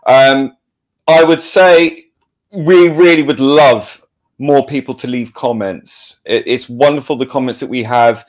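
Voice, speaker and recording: A man's voice: mid-range voice, measured pace. A man chatting casually as a podcast guest on a video call. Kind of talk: casual chat.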